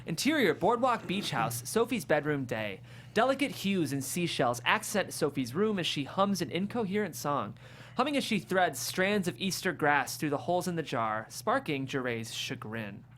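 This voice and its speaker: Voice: mid-range voice, big pitch swings, moderate pace, fairly bright tone, a little hiss or hum. A narrator reading stage directions from a script at a table read.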